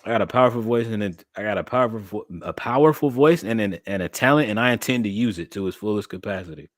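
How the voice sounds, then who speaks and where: A young man speaks in a low voice, animated and preacherly as he talks straight to his livestream chat. The sound is close and dry with no room around it. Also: fast, dry recording. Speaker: streamer and podcast host.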